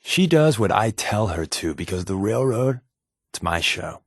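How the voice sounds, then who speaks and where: An actor playing a wry, secretive spy in a video game, with a glib, offhand, conversational delivery. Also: male voice, fairly low pitch, very animated, unhurried, husky, no echo, clean studio sound, exaggerated voice. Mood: earnest, confiding. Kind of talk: video game companion dialogue.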